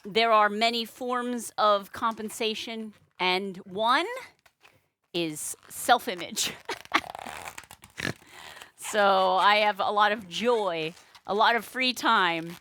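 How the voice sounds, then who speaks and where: Playful woman with a bright, forceful voice. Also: mid-range voice, slow pace, long pauses. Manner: playful, tongue-in-cheek, self-mocking. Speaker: balloon artist live-streamer. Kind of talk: casual live-stream banter.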